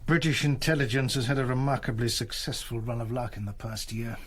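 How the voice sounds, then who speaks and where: A man's voice: mid-range voice, a little fast, slight background noise, lightly acted. A shrewd German military aide played by a man in a radio drama. He has a mid-range voice and speaks with respectful, thoughtful caution. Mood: cautious suggestion. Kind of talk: broadcast radio drama acting.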